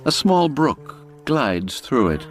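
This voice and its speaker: A man narrating an audiobook story in a measured, deliberate way with dramatic pauses. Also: mid-range voice, sing-song, faint music behind.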